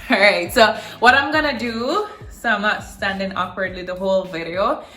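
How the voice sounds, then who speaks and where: A woman's voice: mid-range voice, a little slow, sharp tone, faint music behind. A young woman from Jamaica with a Jamaican accent, chatting casually to camera as a vlogger and repeating words for emphasis. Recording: roomy echo.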